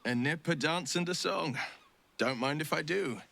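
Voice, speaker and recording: Creaky-voiced genial adventurer. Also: male voice, fairly high pitch, normal speed, sharp tone. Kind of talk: dramatic video game acting.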